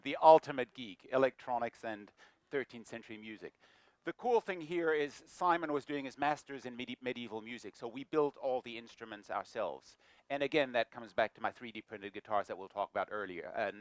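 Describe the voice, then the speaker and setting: Middle-aged man as a guest speaker, joking, self-mocking, mid-pitched, dry room. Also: no room sound. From New Zealand. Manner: jokey, self-deprecating, lively. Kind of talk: lecture talk. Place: lecture room.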